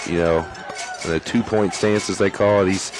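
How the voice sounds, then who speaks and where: Low-voiced football color man, husky. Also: steady tone, moderate pace, rough recording. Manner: chatty, filler-heavy explaining. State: losing his voice. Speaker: color commentator. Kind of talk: analytical sports color commentary.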